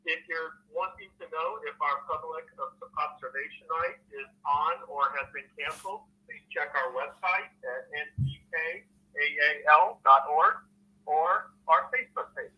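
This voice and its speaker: Bright-voiced man on a formal voicemail greeting. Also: on the high side, very animated, rough recording. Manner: formal, measured. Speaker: observatory answering machine greeting.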